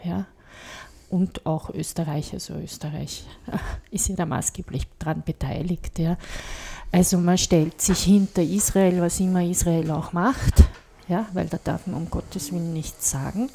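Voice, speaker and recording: Austrian woman, lowish soft-edged mellow voice, emphatic and critical, casual podcast debate. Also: steady tone, measured pace, vocal fry, slightly rough. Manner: critical, emphatic, adds tag questions. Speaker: podcast panelist. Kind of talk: opinionated casual political discussion.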